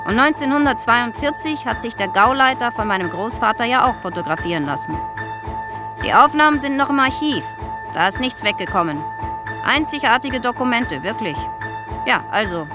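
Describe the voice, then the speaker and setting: A woman's voice: on the high side, natural voice. An actress playing an older East German woman in a radio drama, recollecting in a chatty, self-justifying, insistent way. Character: older East German woman, wounded, defensive photographer's granddaughter.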